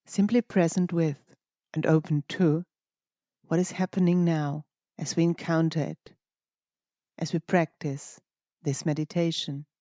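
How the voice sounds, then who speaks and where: Gentle meditation teacher, really mellow voice, slow broken phrases, kinda dull dry sound. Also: mid-range voice, steady tone, no echo. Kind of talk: guided meditation narration.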